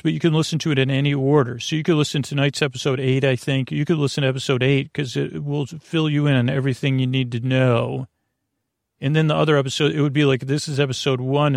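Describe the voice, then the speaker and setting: A man with a very creaky, gravelly voice hosts a sleep podcast. He talks casually and wanders from one aside to the next, often hesitating mid-thought. The sound is dry and close, with no room around it. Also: medium pitch, a little mellow, dry recording. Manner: meandering, hesitant, trailing digressions. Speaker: podcast host. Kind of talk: rambling bedtime sleep-podcast intro, casual monologue.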